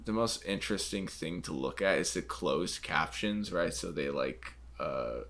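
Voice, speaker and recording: A man's voice: a bass voice, expressive, a little slow, fairly bright tone. A man co-hosting a podcast, chatting casually with a sarcastic, jokey manner. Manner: sarcastic, jokey, laughs along. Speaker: podcast co-host. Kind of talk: casual chat.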